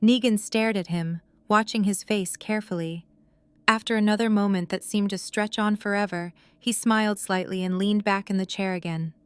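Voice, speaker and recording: A woman narrates scripted dramatic fiction in an audio drama. Her voice is smooth and clear at a middle pitch, and the recording is very dry. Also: steady tone, moderate pace, no room sound.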